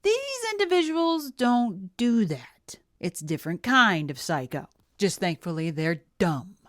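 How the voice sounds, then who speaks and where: A woman's voice: lowish voice, very animated, measured pace, a bit bright. A woman giving blunt, opinionated commentary on body language, sometimes dropping to a whisper. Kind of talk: video commentary.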